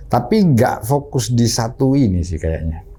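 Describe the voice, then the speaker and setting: A man's voice: medium pitch, lively intonation, a little slow, dark tone, breathy edge. A middle-aged man, a guest on a studio podcast, recounting his experiences in a polite, hesitant way, often repeating himself. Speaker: canteen manager guest. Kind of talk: casual interview chat.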